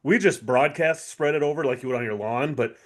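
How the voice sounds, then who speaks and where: A man's voice: mid-range voice, brisk. A man co-hosting a podcast, chatting casually in an agreeable, plain-spoken way. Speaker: podcast co-host. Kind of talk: casual chat.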